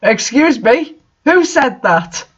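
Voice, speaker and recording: A man voicing an angry, suspicious school janitor who shouts indignantly in a comic story reading. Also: extremely high pitch, very animated, slow pace, slightly rough, dull audio, pitched above the performer's own voice, heavily acted.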